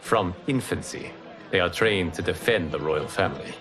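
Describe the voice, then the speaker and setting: Deep, measured ancient male mentor, acted by a man. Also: lightly acted. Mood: calm. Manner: measured, formal, explanatory. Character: ancient, wise, composed male mentor god. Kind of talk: video game cinematic acting. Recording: music behind the voice.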